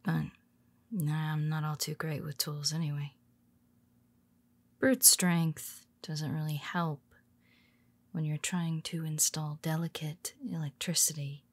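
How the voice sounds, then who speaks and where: A woman playing a weary, teasing female superhero who speaks softly to her nemesis, often dropping to a whisper. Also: low-pitched, flat delivery, slow pace, long pauses, slightly rough, no echo, exaggerated voice. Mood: wry teasing. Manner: teasing, soft-spoken, slips into whispers. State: tired. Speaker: audio roleplay performer. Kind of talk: intimate audio roleplay.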